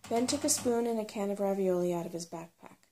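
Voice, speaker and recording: A woman's voice: medium pitch, quick pace, dark tone, a little hiss or hum. A woman reading a children's fantasy novel aloud as ASMR storybook narration. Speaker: storybook reader.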